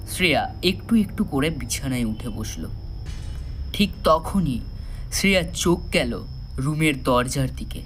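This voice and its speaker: A man narrating a thriller audio story in a dramatic, suspenseful style with measured pauses. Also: a little high, a bit bright, slight background noise.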